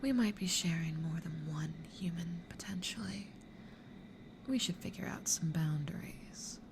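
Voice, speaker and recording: A woman playing a playful, mischievous demon in a hushed, teasing, creaky ASMR roleplay whisper. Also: lowish voice, unhurried, lightly acted. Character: playful, mischievous demon who toys with humans. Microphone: close studio condenser or binaural mic. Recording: clear background noise.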